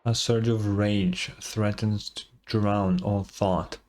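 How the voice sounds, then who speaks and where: A man's voice: fairly low pitch, little pitch movement, takes it slowly, a little mellow, slight background noise. A man streaming a video game, reading its story narration aloud with casual asides, over game music.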